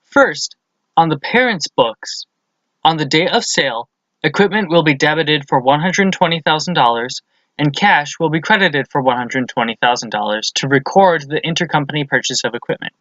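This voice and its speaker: A man's voice: a little high, expressive, normal speed, pushed and bright, no room sound. Male tutorial presenter, methodical scripted explainer read, dry and slightly muffled lo-fi voice-over. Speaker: accounting lesson presenter. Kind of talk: scripted instructional narration.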